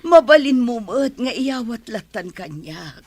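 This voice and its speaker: A woman's voice: fairly high pitch, big pitch swings, measured pace, a little mellow, no echo. A woman with an Ilocano accent playing a weary, kind household maid who speaks meekly and pleadingly. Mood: hurt. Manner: meek, pleading. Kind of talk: Ilocano audio drama.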